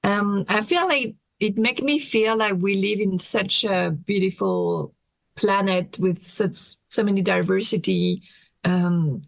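French-accented woman with a gravelly voice. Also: medium pitch, no room sound. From France. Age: late 30s. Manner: hesitant, thoughtful, with many pauses and restarts. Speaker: interview guest. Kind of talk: casual interview conversation.

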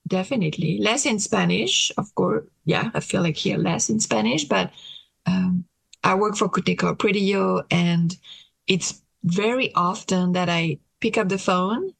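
A woman in her late thirties with a French accent speaks English, her second language, in a relaxed interview, pausing often and restarting her sentences. Her voice has a gravelly edge, and the recording is close and dry. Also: mid-range voice, no room sound. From France. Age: late 30s. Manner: hesitant, thoughtful, with many pauses and restarts. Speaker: interview guest. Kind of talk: casual interview conversation.

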